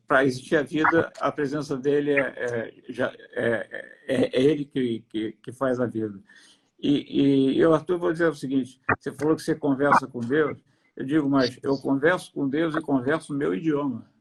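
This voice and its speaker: A man's voice: mid-range voice, steady tone, moderate pace, vocal fry. A man with a Brazilian Portuguese accent giving a faith testimony on a live stream, hesitant with many restarts, then emphatic. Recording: noisy live-stream audio.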